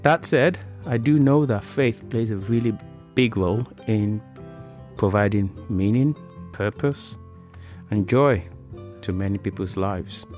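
A man's voice: on the low side, a little slow, a little mellow, faint music behind. A man hosting a podcast in an informal, chatty, conversational way. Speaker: podcast host.

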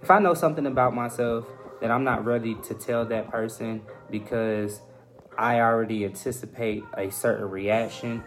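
A young American man chatting casually on a podcast, thoughtful and halting as he searches for his words. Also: medium pitch, steady tone. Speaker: podcast guest. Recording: faint music behind the voice.

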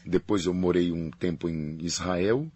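Deep husky priest. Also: male voice, a little slow, no room sound. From Paraná, Brazil. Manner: calm, explanatory, repeats key phrases. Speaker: priest and faculty representative. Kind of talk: interview guest explaining at length.

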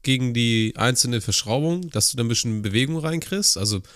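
A man's voice: medium pitch, expressive, brisk, a bit bright, no room sound. A man from northern Germany co-hosting a casual podcast about heating work, teasing his partner with dry humor. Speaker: podcast co-host, heating technician.

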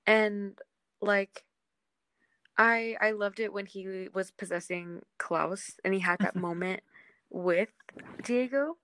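A woman's voice: medium pitch, steady tone, slow, a bit bright, no room sound. Young woman in casual chat, dry close sound. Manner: agreeable, informal. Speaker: podcast co-host. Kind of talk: casual panel chat about TV.

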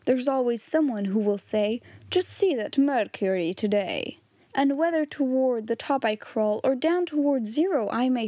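A woman's voice: on the high side, dark tone, no room sound. A woman voicing the aggrieved, self-pitying mercury of a thermometer in comic verse, plaintive and dramatic.

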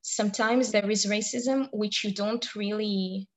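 A young woman from Israel answering interview questions, hesitant and pausing often with fillers. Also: medium pitch, steady tone, low-quality recording. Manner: hesitant, many pauses and fillers.

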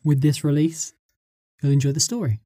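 A man's voice: fairly high pitch, steady tone, normal speed, warm tone, dry recording, clean studio sound. A man being interviewed in a casual chat, answering briefly and hesitantly in a coy, guarded way.

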